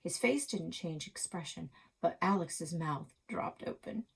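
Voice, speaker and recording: A woman reading a children's story aloud with dramatic, expressive delivery, as a library reader. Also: lowish voice, unhurried, creaky, husky.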